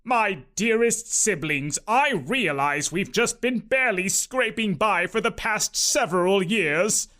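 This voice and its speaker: A man voicing a stern, pompous, greedy rich uncle who speaks slowly and grandly in a storybook reading. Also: sits high, animated, bright, forceful tone, heavily acted. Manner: pompous, slow, decreeing.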